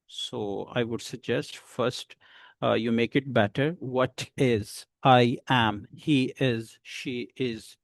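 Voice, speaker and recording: Slow, gravelly-voiced male teacher. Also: mid-range voice, steady tone, no room sound. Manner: slow, patient explaining with many pauses. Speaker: English teacher. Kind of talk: beginner English lesson.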